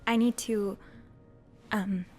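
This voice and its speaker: A woman's voice: medium pitch, fairly even intonation, slow, a little mellow, faint music behind, natural voice. A woman playing a shy, awkward, earnest young hotel maid in a video game, speaking hesitantly. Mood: casual, awkward. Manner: hesitant, stammering. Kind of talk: naturalistic video game acting.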